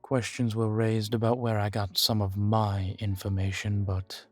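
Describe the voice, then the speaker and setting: A man playing an ancient vampire in an audio roleplay, guarded, weary and grave, speaking in a measured, deliberate way with dramatic pauses. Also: fairly low pitch, level tone, faint music behind, close to an everyday voice. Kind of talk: scripted audio roleplay addressed to the listener.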